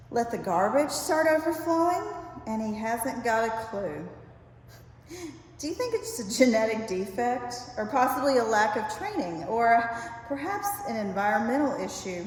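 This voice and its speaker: Older lady reading a funny essay, kinda high voice, super echoey room with long reverb. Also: a little mellow. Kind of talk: reading a humorous essay aloud.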